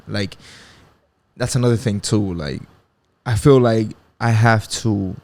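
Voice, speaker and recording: Male host, 20s, lowish gravelly voice, rambling casual podcast monologue. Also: darkish tone. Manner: rambling, reflective, swears freely, many fillers. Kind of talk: casual solo podcast talk.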